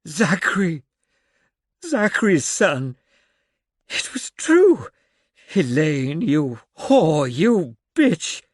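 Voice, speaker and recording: A man's voice: on the high side, big pitch swings, extremely slow, long pauses, no echo, studio quality, pitched above the performer's own voice, heavily acted. A man voicing a hate-filled old man with a dagger, wheezing and ranting. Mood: frantic rage.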